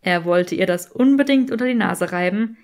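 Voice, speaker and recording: A woman's voice: mid-range voice, moderate pace, darkish tone. A woman reading a scripted fan fiction story as an audiobook narrator.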